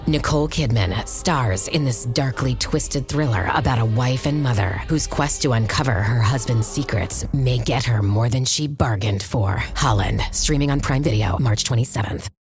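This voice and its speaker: A woman announcer narrates a promo in an exceptionally low voice. Music plays behind her scripted read. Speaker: advert announcer.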